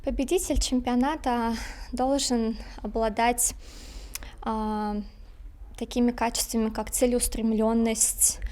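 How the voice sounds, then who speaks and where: A woman speaks in an interview at a slow pace. Her voice is on the high side, and she halts often while searching for the next word. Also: fairly even intonation, dark tone. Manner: halting, searching for words. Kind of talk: interview answer.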